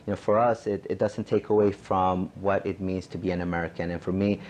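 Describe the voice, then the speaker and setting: Male documentary interviewee, low-pitched and steady in tone, earnest, pausing and repeating words as he searches for phrasing. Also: a little hiss or hum. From Mexico. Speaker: attorney. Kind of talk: personal testimony in a documentary.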